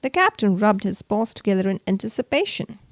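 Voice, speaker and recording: A woman reading a children's story aloud as storyteller, in an expressive and deliberate way. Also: mid-range voice, no echo, studio quality.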